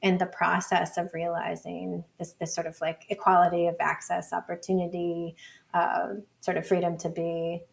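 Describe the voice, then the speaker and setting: A woman's voice: fairly low pitch, completely flat delivery, moderate pace, vocal fry. A woman researcher speaking as an interview guest, informal and conversational, sprinkling her points with "like" and "you know". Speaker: academic researcher, podcast guest.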